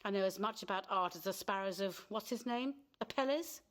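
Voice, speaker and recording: An actress playing a sensible, sharp-tongued middle-aged wife and mother in a radio play, brisk and chiding. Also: mid-range voice, lightly acted. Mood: gently ironic.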